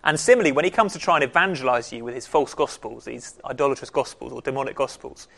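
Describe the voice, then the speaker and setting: A man's voice: medium pitch, fast, vocal fry, fairly bright tone. A man leads a group through a Bible passage, thinking aloud as he goes. His voice sits in a plain middle register, and his delivery is loose and conversational, with hesitations and self-corrections. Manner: conversational, with frequent ums and restarts. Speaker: Bible study leader. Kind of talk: casual teaching talk.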